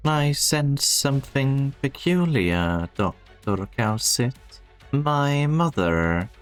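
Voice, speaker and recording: Guy doing solemn measured game character, lowish voice, super slow and halting. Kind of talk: fan dub of a fantasy game. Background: background music.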